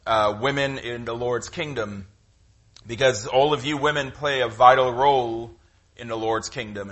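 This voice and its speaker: A middle-aged man with a Trinidadian accent, preaching a sermon in a conversational, halting way with frequent fillers. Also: mid-range voice, fairly bright tone. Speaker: guest preacher.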